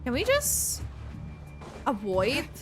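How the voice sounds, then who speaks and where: A woman game streamer giving excitable, halting reaction commentary as she plays. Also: high voice, over music. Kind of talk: live gameplay reaction commentary.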